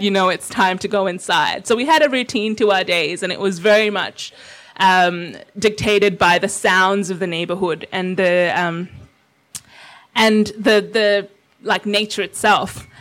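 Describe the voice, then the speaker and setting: A young woman from Sri Lanka gives a personal talk, thoughtful and conversational. She pauses on little fillers and laughs softly now and then. Her voice sits at an ordinary middle pitch. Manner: warm, reflective, with fillers and small laughs. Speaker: invited speaker. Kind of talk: personal storytelling talk to an audience.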